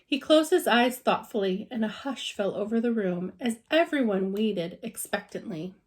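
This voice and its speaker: A woman's voice: medium pitch, lively intonation, normal speed, darkish tone. A woman teacher reading a children's storybook aloud with expression.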